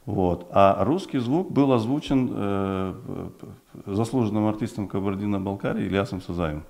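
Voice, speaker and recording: A man in his forties, first language Uzbek, talks as a guest in a small television studio. His voice sits low and sounds dark and mellow. He speaks thoughtfully, pausing with fillers and restarting his phrases. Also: creaky. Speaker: project developer guest. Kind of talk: studio interview. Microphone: desk mic on stand.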